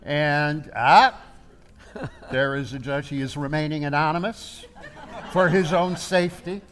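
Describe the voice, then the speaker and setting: A man's voice: on the high side, fairly even intonation, a little slow, a bit bright. A man playing a genial contest emcee, making formal stage announcements to an audience. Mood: genial, ceremonious. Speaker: master of ceremonies.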